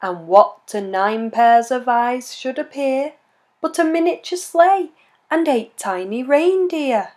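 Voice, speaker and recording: A woman reading a rhyming children's picture book aloud in an expressive, measured storytelling manner. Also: sits high. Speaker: children's story reader and channel host.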